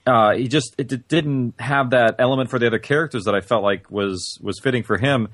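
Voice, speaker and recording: A man's voice: mid-range voice, a little fast, dry recording. A man being interviewed on a podcast, talking casually in a loose, rambling way with many fillers. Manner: relaxed, rambling, heavy use of "like". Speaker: podcast guest, audio drama creator.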